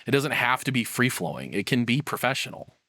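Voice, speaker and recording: A man's voice: mid-range voice, sing-song, brisk, bright, forceful tone, no room sound. A man streamer giving casual, rambling reaction commentary in an informal, hedging way.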